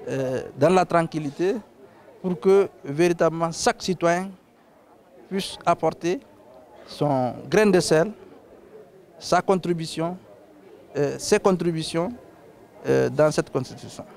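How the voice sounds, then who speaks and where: A man from Guinea, a legislator, giving a measured public speech with frequent hesitations. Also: a little high, creaky, darkish tone. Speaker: legislator on a tour.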